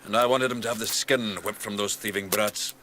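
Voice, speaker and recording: Low-voiced, earnest gentleman doctor. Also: steady tone, a little hiss or hum. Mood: reflective. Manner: earnest, insistent, deliberate phrasing. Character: earnest, idealistic gentleman doctor with a secretive streak. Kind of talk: radio drama acting.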